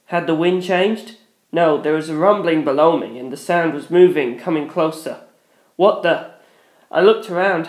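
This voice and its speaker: A narrator reading a dramatic first-person story. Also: mid-range voice. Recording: echoey room.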